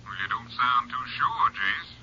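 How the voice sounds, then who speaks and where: An American actor playing a brisk Texas Ranger captain in a vintage radio drama, heard as a telephone voice. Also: male voice, mid-range voice, steady tone, bright, forceful tone, slightly rough, exaggerated voice. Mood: questioning. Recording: dull, noisy vintage radio phone line.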